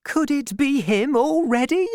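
A woman reading aloud and voicing a gentle, trusting young woman who talks in an eager, breathless way. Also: medium pitch, lively intonation, slow, dry recording, studio quality, voice pushed up. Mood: excited.

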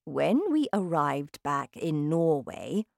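A woman voicing a calm, sly old grandmother in a children's storybook, speaking in a measured, soft, knowing way. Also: low-pitched, gravelly, no echo, studio quality, exaggerated voice. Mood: calm, reassuring.

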